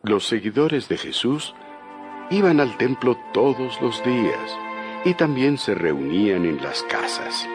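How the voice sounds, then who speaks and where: A man's voice: medium pitch, sing-song, slow pace. A man narrating a dramatized audio Bible as a scripted storyteller. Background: music.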